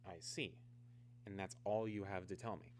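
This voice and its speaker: An actor playing a stern, skeptical army major in a mid-century radio drama, speaking formally and insistently over an old humming recording. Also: male voice, deep voice, fairly even intonation, moderate pace, a little hiss or hum, lightly acted.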